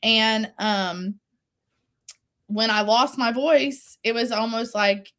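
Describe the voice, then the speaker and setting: A woman in her forties talks through her story as an interview guest, earnest and reflective, often restarting a thought. Her voice is a little higher than most, and it is still recovering after she lost it. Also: steady tone, slow, fairly bright tone. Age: 40s. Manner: earnest, faith-driven, with laughs and restarts. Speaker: singer-songwriter guest.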